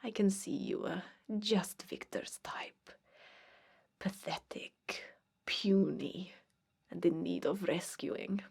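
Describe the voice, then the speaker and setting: Woman as a haughty vampire in breathy whispers. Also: fairly low pitch, a little mellow, exaggerated voice. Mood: haughty dismissal. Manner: disdainful, formal, archaic phrasing, deliberate. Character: haughty, cold, centuries-old female vampire blood hunter recruiter. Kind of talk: whispered ASMR roleplay monologue.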